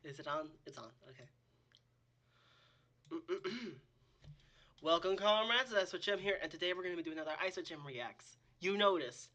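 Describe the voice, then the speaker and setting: A man hosts a casual reaction video in a husky voice that still comes across very bright and forward. He sounds under the weather and sits fairly high in pitch. His talk rambles and breaks into exclamations. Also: expressive, normal speed, pauses often, muffled recording. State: sick with a cold or similar illness. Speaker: host of a reaction channel.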